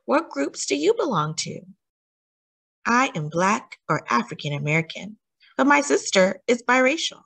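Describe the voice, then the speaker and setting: Woman reading aloud, lively swooping pitch. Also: vocal fry, breathy edge. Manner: deliberate, teacherly emphasis with questions to young listeners. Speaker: children's story time host reading a picture book aloud. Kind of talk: read-aloud storytelling for children, with asides and questions.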